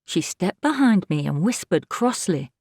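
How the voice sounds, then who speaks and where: An actress playing an observant, plain-spoken housekeeper who tells a story in measured audiobook narration. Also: female voice, lowish voice, very animated, a little mellow, husky, no room sound, studio quality, full character voice.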